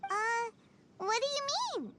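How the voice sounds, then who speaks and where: A woman voicing a small, childlike fairy companion in a video game, squeaky, curious and blunt. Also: very animated, takes it slowly, sharp tone, slight background noise, full character voice. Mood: curious, surprised. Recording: music under the voice.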